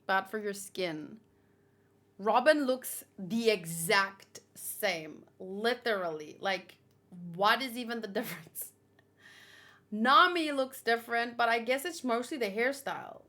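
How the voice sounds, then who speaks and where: A woman doing casual livestream commentary, reading aloud and reacting in a chatty, expressive way. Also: a little high, takes it slowly. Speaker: manga read-along streamer.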